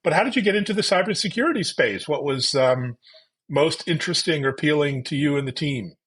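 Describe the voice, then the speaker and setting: A man's voice: on the high side, expressive, fairly quick, fairly bright tone, slightly rough. A man hosting a tech interview show, introducing his guest and asking questions in an upbeat, effusive manner. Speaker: podcast host.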